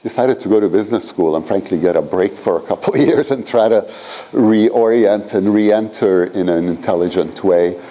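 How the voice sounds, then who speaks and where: A very deep, mellow-voiced man in his sixties, originally from Iran, lecturing a graduate seminar in a lecture room. He rambles casually, leaning on "you know" and "frankly" as he goes. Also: moderate pace. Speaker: professor leading a seminar.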